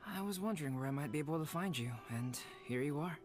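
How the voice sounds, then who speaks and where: A man voicing a polite, caring young man in a video game, calm and measured, with gentle formal phrasing. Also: fairly high pitch, soft background music, lightly acted. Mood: calm, courteous.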